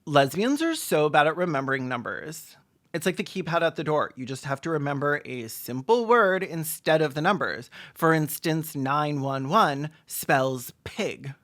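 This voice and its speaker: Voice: mid-range voice, animated, moderate pace, a bit bright. A video essayist giving sarcastic, mocking comedic commentary on a film in a campy way.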